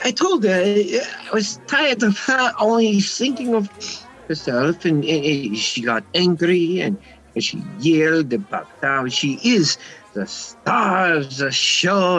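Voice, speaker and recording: An actor playing an irritable, defensive nightclub manager with a halting, stammering delivery in a radio play. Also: male voice, a little high, fairly bright tone, full character voice, rough recording.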